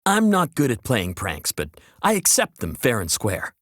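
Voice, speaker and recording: A woman's voice: extremely low pitch, huge pitch swings, moderate pace, no room sound, exaggerated voice. A woman voicing an earnest, reserved fighter in a fantasy game, plain-spoken.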